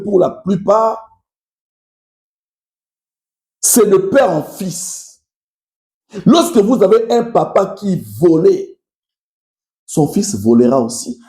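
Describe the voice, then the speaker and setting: A man's voice: a little high, vocal fry, dark tone, roomy sound. A man from Kasai with a Congolese French accent, whose first language is Tshiluba, giving impassioned political commentary and speaking directly to his followers. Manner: emphatic, repetitive, addresses listeners directly.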